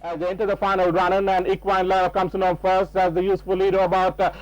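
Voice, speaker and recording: Fast, high-pitched race caller. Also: male voice, lo-fi sound, soft background music. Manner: rapid rising excitement. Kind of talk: horse race commentary.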